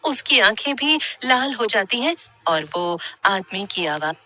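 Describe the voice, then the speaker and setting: A woman's voice: mid-range voice, big pitch swings, measured pace, bright, forceful tone. A woman reading scripted horror story narration as the storyteller.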